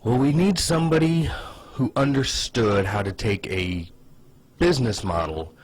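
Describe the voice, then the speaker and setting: A man hosting a casual comedic commentary video, pitching ideas in a wry, sarcastic, opinionated way. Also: a little slow, husky, no echo.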